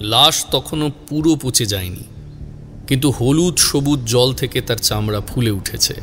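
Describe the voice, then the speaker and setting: A man's voice: medium pitch, fairly bright tone. Man narrating suspenseful horror over music. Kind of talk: dramatic scripted narration.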